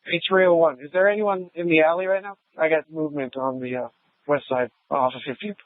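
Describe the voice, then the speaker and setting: A man's voice: fairly high pitch, fairly even intonation, brisk, no room sound. A man working as a police officer, calling in over police radio on a scanner recording. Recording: tinny narrowband scanner radio.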